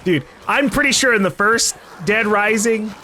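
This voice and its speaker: A man's voice: high-pitched, normal speed, sharp tone, slight background noise. A man giving casual let's play commentary in a playful way, calling out to the game's characters. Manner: playful, calls out to game characters. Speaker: gaming YouTuber, let's play host.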